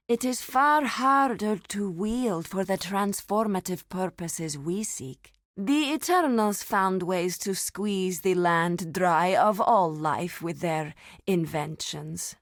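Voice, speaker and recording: A woman's voice: mid-range voice, no room sound. A solemn grove druid lady for a game, formal n grave, takes her time, dry studio sound. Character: solemn druidic woman, keeper of a sacred grove. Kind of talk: scripted game dialogue.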